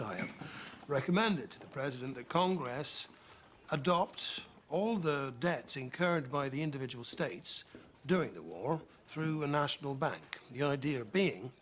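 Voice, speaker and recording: Period film casting: a man to play a confident, driven treasury statesman, mid-range voice, crisp formal argument, light background noise. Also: mid-range voice, close to an everyday voice. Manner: formal, assertive, precise. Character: assured, ambitious Treasury statesman of revolutionary-era America. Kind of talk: period film drama dialogue.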